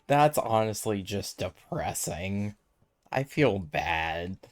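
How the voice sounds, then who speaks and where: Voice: sits low, expressive, slow pace. A game streamer giving casual gameplay commentary, musing aloud to themself while playing. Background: game music.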